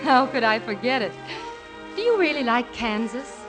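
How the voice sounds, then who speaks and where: A woman's voice: fairly high pitch, expressive, normal speed, lightly acted, low-quality recording. An actress playing a wistful, adoring young woman in 1950s radio drama style. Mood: admiring. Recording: vintage 1950s radio broadcast. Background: orchestral music.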